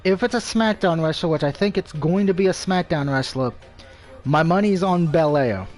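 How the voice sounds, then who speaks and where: A man's voice: fairly high pitch, normal speed, darkish tone, soft background music. A man casually commentating on a live game stream, rambling and swearing, with opinions on everything and a speech impediment.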